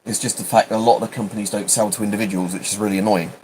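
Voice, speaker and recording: British guy rambling on a livestream, lowish voice, keeps cutting himself off, background noise. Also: fairly even intonation, rapid-fire, darkish tone. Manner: rambling, self-interrupting, hesitant. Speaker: livestream host. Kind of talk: rambling casual livestream chat.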